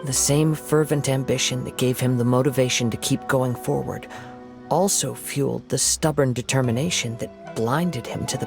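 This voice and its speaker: An actor voicing a young wandering samurai in a video game, soft-spoken, measured and reflective. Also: male voice, mid-range voice, gravelly, natural voice. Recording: game audio over loud music.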